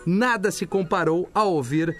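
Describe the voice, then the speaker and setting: Male radio host, high-pitched voice, Gaúcho accent from southern Brazil, casual on-air chat. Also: moderate pace. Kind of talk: casual live radio chat and listener mail reading.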